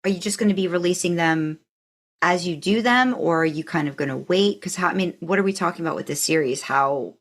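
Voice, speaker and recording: A woman's voice: fairly low pitch, brisk, fairly bright tone. A woman hosting a podcast, asking questions in a casual, informal and encouraging way. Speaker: podcast host interviewing a guest. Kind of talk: casual conversational interview.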